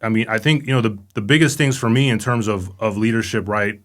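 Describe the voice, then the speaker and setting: A middle-aged man speaks quickly in a low-ish voice. His talk is loose and rambling, and he tags his sentences with "right?" and "you know". It is an easy, casual interview chat. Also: fairly even intonation. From born in Romania, raised in Los Angeles. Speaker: guest, command sergeant major.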